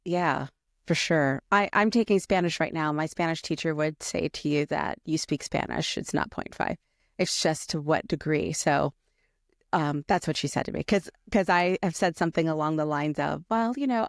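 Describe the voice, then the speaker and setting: Woman, podcast host, creaky voice, medium pitch, dry recording, casual interviewing. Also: animated, moderate pace, no echo.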